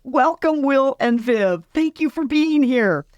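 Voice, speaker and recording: A woman's voice: high-pitched, animated, slow pace, darkish tone, no echo, lo-fi sound. A woman hosting a community radio interview, encouraging her guests as she asks questions. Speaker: radio host.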